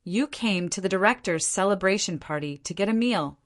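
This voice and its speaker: A woman's voice: medium pitch, lively intonation, normal speed, lightly acted. A woman reading the part of a curious, wary man in his thirties who asks probing questions.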